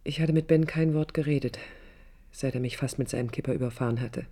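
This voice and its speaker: An actor playing a reflective, ironic storyteller in a literary radio drama, measured and emphatic. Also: male voice, mid-range voice, steady tone, dark tone, natural voice. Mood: reminiscent. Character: reflective, ironic first-person storyteller looking back.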